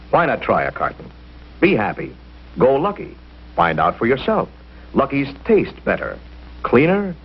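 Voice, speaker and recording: A man's voice: fairly low pitch, breathy edge. A man announcing a scripted 1950s commercial. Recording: muffled, slightly noisy 1950s broadcast.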